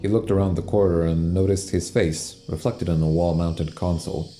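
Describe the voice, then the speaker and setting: A man narrating a scripted space audio drama in measured, literary storytelling style. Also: sits low, steady tone, mellow, soft background music, close to an everyday voice.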